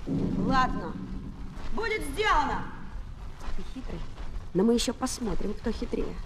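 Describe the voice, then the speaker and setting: A woman's voice: a little high, big pitch swings, a little slow, heavy background noise. An actress playing a cheeky, brave, swaggering Parisian street boy in a Soviet children's radio play. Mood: cocky. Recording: 1970s flexi-disc, loud music behind.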